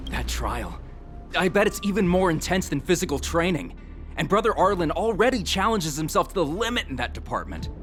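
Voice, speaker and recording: An earnest game security guard who worships his idol. His voice is fairly high and swings widely with fervent emphasis, with music behind. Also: very animated, brisk, fairly bright tone. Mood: admiring. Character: earnest, hero-worshipping male security staffer, boastful on idol's behalf. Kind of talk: video game voice acting.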